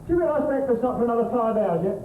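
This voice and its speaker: A man's voice: very high-pitched, lively intonation, rapid-fire. A man in an amateur stage play, playing a sleep-deprived, grumbling but affectionate young husband in a weary, wry way. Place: theatre stage. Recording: tinny, noisy, echoey 1980s theatre recording.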